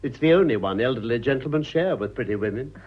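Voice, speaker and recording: A man's voice: mid-range voice, animated, fast, husky, lo-fi sound. An actor playing an urbane elderly father with an upper-class English accent, drily flippant and courteous in a radio drama. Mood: playful, courteous. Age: older. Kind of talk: stage comedy of manners radio acting.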